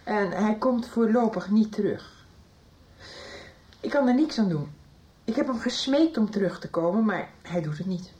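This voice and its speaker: A woman's voice: mid-range voice, animated, normal speed, mellow, lightly acted. A middle-aged actress playing an anxious mother who apologizes and pleads about her runaway son, in a 1990s radio drama. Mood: worried.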